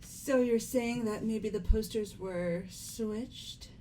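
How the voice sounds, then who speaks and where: A woman playing a composed, doubting young aristocratic girl with measured delivery in an amateur game dub. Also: on the high side, mellow, soft background music, low-quality recording.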